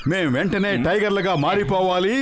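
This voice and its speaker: A man voicing a gluttonous, boastful, excitable little cartoon man in an animated dub. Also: high voice, brisk, background noise, close to an everyday voice. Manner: excitable, exclamatory. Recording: loud music behind.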